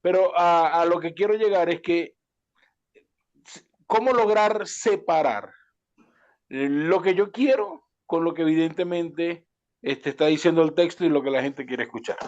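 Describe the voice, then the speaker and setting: A man's voice: on the high side, unhurried, a little mellow. A man with a Venezuelan accent asking a question in an online webinar, hesitant and often restarting his words, over dull call audio. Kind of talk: spontaneous question to a speaker.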